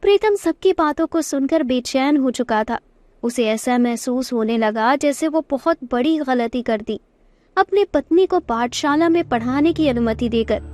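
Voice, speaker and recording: A woman's voice: sits high, animated, measured pace, a little mellow, no echo. A woman narrator reading a scripted Hindi moral story.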